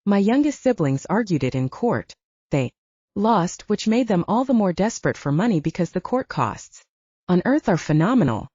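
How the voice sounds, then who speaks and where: A woman's voice: medium pitch, sing-song, moderate pace, mellow, husky, dry recording, lo-fi sound. A woman voicing a wounded, self-reliant human ranger in an audiobook, confiding and candid. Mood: bitter, confiding. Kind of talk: audiobook character dialogue.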